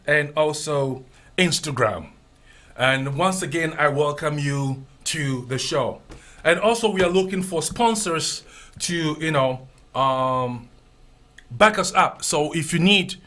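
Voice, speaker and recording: A man with a Ghanaian accent hosting a casual radio chat show, animated and prone to repeating himself. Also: fairly high pitch. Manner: animated, repeats phrases, drawn-out spelling of contacts. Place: radio studio.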